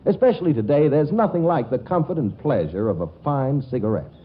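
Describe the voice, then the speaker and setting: A man with an American accent delivering a 1940s radio commercial pitch as the announcer. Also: very animated, brisk, husky. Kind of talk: 1940s cigarette advertising pitch. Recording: muffled 1940s radio broadcast, faint music.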